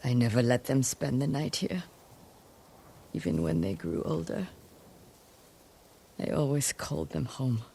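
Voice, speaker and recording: A woman playing a grieving old warrior noblewoman in a video game, low and gravelly, weary but proud. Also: lively intonation, a little slow, long pauses, mellow, slight background noise, full character voice. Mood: weary, wistful. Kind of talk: cinematic video game acting.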